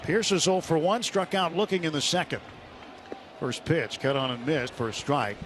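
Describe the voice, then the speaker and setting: A man's voice: fairly high pitch, normal speed. A man working as a radio play-by-play announcer, giving live baseball commentary from a ballpark. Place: outdoor ballpark broadcast booth. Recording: noisy open-air stadium broadcast.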